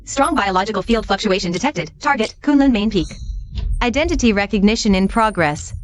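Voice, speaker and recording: A woman voicing an impassive system AI in an animated comic, giving clipped, formal announcements. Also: mid-range voice, brisk, lightly acted. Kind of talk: animated comic story dubbing.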